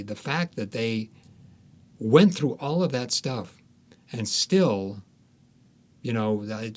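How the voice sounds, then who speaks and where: A man being interviewed as an author, speaking conversationally and haltingly, repeating words as he thinks aloud. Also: mid-range voice.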